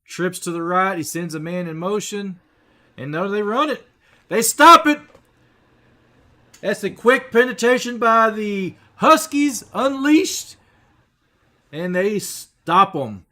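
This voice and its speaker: A man calling a football game live for his viewers, his voice sitting a little high for a man and worn rough. He chats casually, clears his throat now and then, and lifts his voice excitedly when a big play happens. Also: slow pace, pauses often. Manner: chatty, talks straight to viewers, rises excitedly on big plays. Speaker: livestream play-by-play host.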